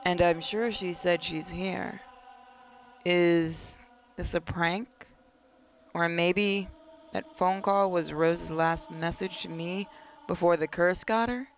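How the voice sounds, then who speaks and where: A woman hosting a gaming video, reading a visual novel aloud dramatically with playful, expressive asides. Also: lowish voice, slow pace, a little hiss or hum.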